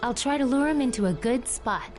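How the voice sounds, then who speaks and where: A woman's voice: on the high side, animated, a little fast, a little mellow, lightly acted. An actress playing a plucky, curious teenage baker girl in a video game, breezy and casual. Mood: earnest. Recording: game music behind the voice. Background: game background music.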